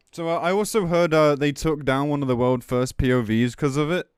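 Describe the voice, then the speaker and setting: A man's voice: fairly high pitch, steady tone, moderate pace, clean tone, dry recording. Blunt, sweary male streamer, close dry sound. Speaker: game streamer commenting on news. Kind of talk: casual livestream rant reading and reacting to a post.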